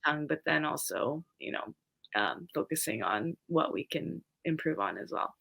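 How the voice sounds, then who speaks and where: A young woman teacher speaks as a podcast guest, her voice bright and a little low. She thinks aloud as she goes, circling back and restarting phrases. Her tone stays casual and conversational throughout. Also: lively intonation, normal speed, creaky. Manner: thoughtful, restarts phrases, many fillers.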